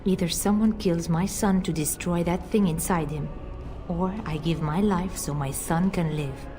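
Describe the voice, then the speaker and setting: A woman's voice: lowish voice, normal speed, music in the background, close to an everyday voice. A woman voicing a noble lady in a video game, a desperate mother who pleads, rages and resolves to protect her son. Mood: resolute. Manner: pleading, emotional, shifts to grave resolve. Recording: game score behind.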